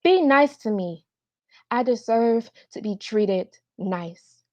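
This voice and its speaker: A woman's voice: on the high side, expressive, slow pace. A woman performing a dramatic monologue as a hurt, resentful daughter pleading with and accusing her mother, over slightly dull call audio.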